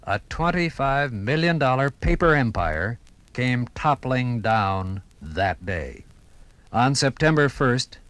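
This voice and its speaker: A man with an American accent narrates a 1950s radio documentary. His delivery is formal and measured, with dramatic phrasing at a medium pitch. Music sits behind him on an old vinyl broadcast recording.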